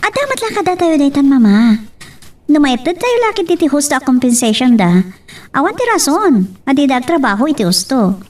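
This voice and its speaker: A woman's voice: sits high, very animated, brisk, recorded from a distance. A woman playing an eager, agreeable young daughter in an Ilocano audio drama. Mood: agreeable, earnest.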